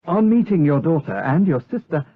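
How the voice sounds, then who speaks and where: An actor playing a courteous, eager young gentleman suitor in a period audio drama, deferential in manner. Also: a little high, lively intonation, moderate pace, slightly rough. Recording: dull lo-fi radio drama recording.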